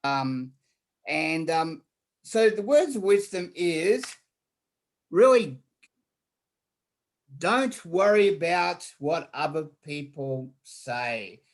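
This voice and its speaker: A man from Australia with a slightly higher voice than most men. He talks as a guest in a loose online chat, circling back, repeating words and filling pauses with ums and uhs. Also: lively intonation. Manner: rambling, repeats words, many fillers. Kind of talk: casual online interview.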